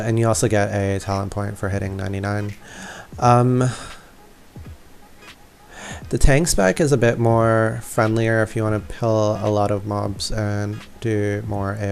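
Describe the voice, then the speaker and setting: A man talks slowly in a low, creaky voice, giving casual gameplay commentary. He is laid-back and offhand, with faint game music behind him. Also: a little mellow. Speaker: gamer narrating a walkthrough.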